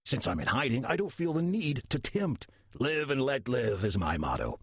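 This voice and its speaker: An actor playing a wry, lazy old male demon who poses as a churchgoer in an audio drama, gravelly, husky and theatrically sardonic. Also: medium pitch, big pitch swings, moderate pace, dry recording, clean studio sound. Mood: sly, amused. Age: older.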